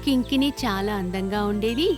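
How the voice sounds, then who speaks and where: A woman's voice: mid-range voice, normal speed, over music. A woman narrator reading a scripted story for children.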